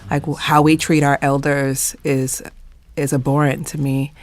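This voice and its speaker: A woman's voice: sits low, flat delivery. Emphatic, earnest panelist, a woman. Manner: emphatic, deliberate, repeats phrases for weight. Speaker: panelist in a discussion of a play. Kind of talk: earnest, reflective discussion.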